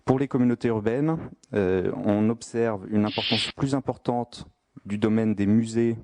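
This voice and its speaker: A man giving a formal spoken presentation of a statistical study in a measured, careful, explanatory manner. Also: lowish voice, darkish tone.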